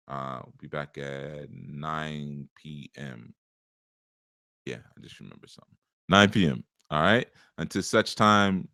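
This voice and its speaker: A low-voiced man hosting a live spiritual teaching show. He talks in a loose, conversational way, full of asides. The sound is close and dry, with no room around it. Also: a bit bright, dry recording. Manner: conversational, rambling, with many asides and chuckles.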